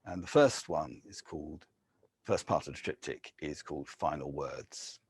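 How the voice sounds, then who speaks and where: An English man giving a measured poetry reading, with soft, hesitant asides between poems. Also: very deep voice, breathy edge. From England. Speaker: poet.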